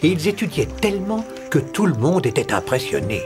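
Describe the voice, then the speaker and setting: A man narrating a royal fairy tale for a cartoon, with expressive scripted storytelling. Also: fairly high pitch, brisk. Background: music.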